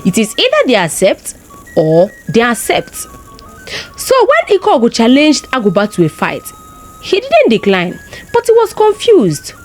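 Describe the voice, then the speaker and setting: A Nigerian-accented woman narrates a folktale as a storyteller. Her voice sits fairly high and swings very widely in pitch, with expressive delivery throughout. Music plays faintly behind her. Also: a little slow.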